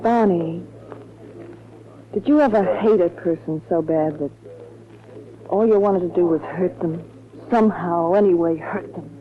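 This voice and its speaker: An actress playing a bitter, brooding nightclub singer with a grudge in a 1940s radio drama. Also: female voice, lowish voice, unhurried, long pauses, natural voice. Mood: bitter, vengeful. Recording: dull 1940s radio recording, music behind.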